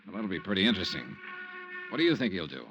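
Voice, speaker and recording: A man's voice: deep voice, huge pitch swings, fast talker, creaky, muffled recording, background noise, full character voice. An American man playing a tough, wry insurance investigator in a 1950s radio detective drama, speaking in a clipped, dry, commanding way. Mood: dry, prodding. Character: tough, wry male insurance investigator, brisk and hard-nosed. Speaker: lead actor and narrator.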